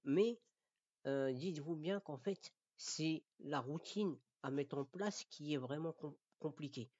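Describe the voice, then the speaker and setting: A man from France giving an informal lesson as a Quran recitation teacher, halting and repeating his words, often checking that listeners follow. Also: on the high side, darkish tone, dry recording, dull audio. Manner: didactic, checks understanding. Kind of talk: informal spoken lesson addressed to learners.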